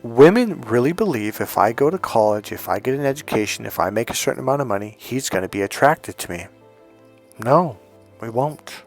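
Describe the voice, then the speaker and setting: A man's voice: medium pitch, animated, brisk, soft background music. A man coaching other men on dating in a blunt, emphatic rant, swearing often. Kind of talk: casual profane rant to camera.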